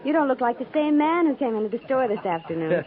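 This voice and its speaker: An actress playing a coy, homespun storekeeper's daughter in a 1950s radio western, gently teasing. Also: female voice, sits high, big pitch swings, fast talker, over music. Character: warm, teasing, capable frontier storekeeper's daughter. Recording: narrow-band, noisy 1950s radio recording.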